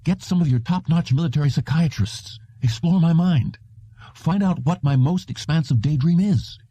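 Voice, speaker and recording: A man's voice: a little high, moderate pace, mellow, breathy edge, no room sound, voice pushed up. A man voicing a desperate, wry hunted former agent in an audiobook. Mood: desperate pleading. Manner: pleading, wry. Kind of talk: audiobook character reading. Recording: dull, muffled lo-fi audio.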